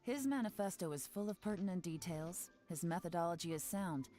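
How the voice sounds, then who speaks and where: A woman's voice: lowish voice, lively intonation, brisk, mellow, faint music behind, lightly acted. A woman voicing a cool, poised investigator.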